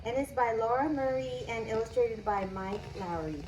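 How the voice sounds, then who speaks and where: A woman reading a children's picture book aloud expressively, with deliberate, dramatic phrasing. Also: fairly high pitch, a little mellow. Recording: echoey room, faint background noise.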